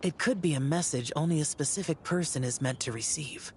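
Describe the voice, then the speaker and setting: A man voicing a grave, composed young immortal guardian in a video game, serious and measured. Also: on the high side, a little hiss or hum, natural voice. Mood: grave, calm.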